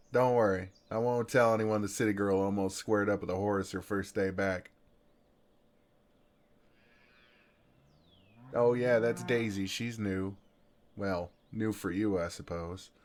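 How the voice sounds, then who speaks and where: A man with a Southern US accent playing a gentle, flirtatious farmhand in an intimate ASMR roleplay, with an easygoing, folksy, soothing drawl. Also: lowish voice, fairly even intonation, a little slow, close to an everyday voice. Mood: easy, teasing.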